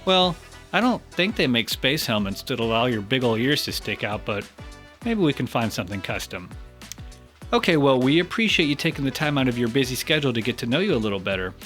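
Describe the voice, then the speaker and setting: A man's voice: medium pitch, big pitch swings, brisk, fairly bright tone, faint music behind. A man hosting a podcast, conducting a playful interview with tongue-in-cheek seriousness. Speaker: podcast host.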